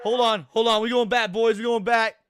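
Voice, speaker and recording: A man giving casual, slangy live reaction commentary as a streamer, excitable and prone to swearing. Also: very high voice, fairly quick, over loud music. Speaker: streamer reacting to horror videos.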